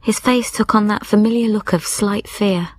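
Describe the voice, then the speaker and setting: An actress playing a grieving young widow, narrating a radio drama in a measured, lyrical, introspective way. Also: female voice, medium pitch, steady tone, lightly acted. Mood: sorrowful. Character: young widowed woman, grieving, reflective and solitary. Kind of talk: scripted first-person radio drama narration. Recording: music behind the narration.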